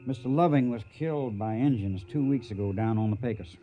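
An actor playing a tough, decisive, plain-spoken cattle-drive trail boss in a western radio drama. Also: male voice, mid-range voice, fairly quick, lo-fi sound, faint music behind, close to an everyday voice. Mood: grave.

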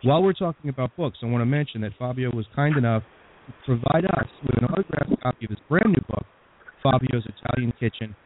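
A man's voice: mid-range voice, expressive, fast, slight background noise. A man hosting a radio interview, chatty with lots of "you know" fillers. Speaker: radio host. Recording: tinny phone-like sound.

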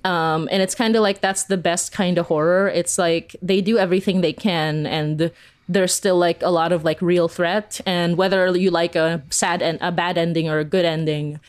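A woman's voice: on the low side, fairly even intonation, normal speed. A woman being interviewed as a podcast creator, chatting informally in a rambling, giggly way with lots of filler "like". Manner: rambling, informal, heavy use of "like"; giggly, laughs often while talking. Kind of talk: casual, chatty interview talk.

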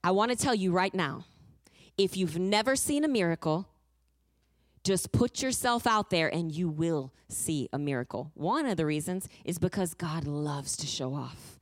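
A woman's voice: lowish voice, expressive, unhurried, no room sound, studio quality. A woman preaching a fervent sermon, moving between emphatic declarations and a personal testimony told as a story. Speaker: guest preacher.

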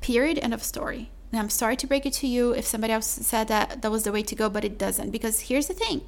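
Woman, podcast host, chatty, mellow voice, slightly high pitch. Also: quick pace, gravelly. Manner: chatty, calls listener "my love", says "like" often. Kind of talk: solo podcast sales pitch.

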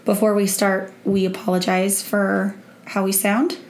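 A woman with a croaky voice from a cold co-hosting a casual true-crime podcast, explaining events plainly. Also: medium pitch, flat delivery, a little slow, a little hiss or hum. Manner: explains plainly, agrees often. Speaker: podcast co-host.

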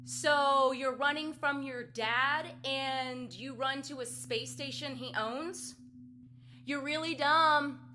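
A woman playing a smug, mocking young villainess in a sci-fi audio drama, with a drawn-out, sneering delivery. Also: sits high, steady tone, sharp tone, slight background noise, heavily acted. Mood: smug, taunting.

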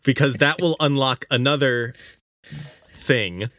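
A man co-hosting a casual video game podcast, talking emphatically with halting explanations and exasperated teasing. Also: medium pitch, fairly bright tone, dry recording. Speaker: podcast co-host.

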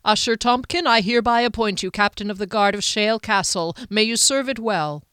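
A woman reading an audiobook voices a stern, powerful middle-aged male duke who speaks with measured, icy formality. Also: medium pitch, a bit bright, smooth, no echo, studio quality, voice pushed up, close to an everyday voice. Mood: formal judgment.

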